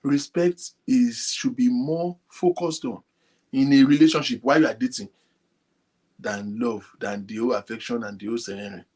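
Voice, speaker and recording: A man hosting an online talk show, speaking softly and addressing his viewers directly. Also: medium pitch, steady tone, normal speed, slightly rough. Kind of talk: casual talk to a live audience. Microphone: phone linked to a computer.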